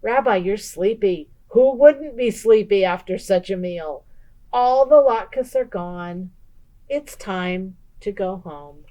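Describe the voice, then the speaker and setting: A woman's voice: medium pitch, expressive, takes it slowly, mellow, poor audio. Woman voicing a fussing, kindly old storybook lady. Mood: fond, warm. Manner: exclaiming, fussing, affectionate. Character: kindly, chatty old woman, hospitable and teasing. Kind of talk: children's storybook character voice.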